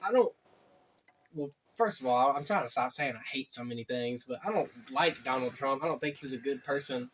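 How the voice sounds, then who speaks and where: A man chatting casually, often chiming in and cutting in with quick remarks. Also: medium pitch, fairly bright tone, a little hiss or hum. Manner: chiming in, interjecting, laughing. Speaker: drummer. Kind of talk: casual chat.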